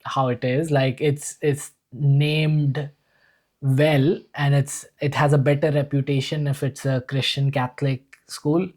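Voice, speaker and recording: A man's voice: medium pitch, little pitch movement, measured pace, darkish tone. A man from India with an Indian accent, telling his life story earnestly and enthusiastically in a casual podcast conversation. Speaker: podcast guest, usual host.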